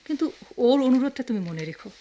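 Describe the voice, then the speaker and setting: An actress playing an affectionate, excitable sister-in-law with a coaxing, teasing way of talking in a radio drama. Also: female voice, sits high, fast talker, dull audio. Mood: excited, coaxing.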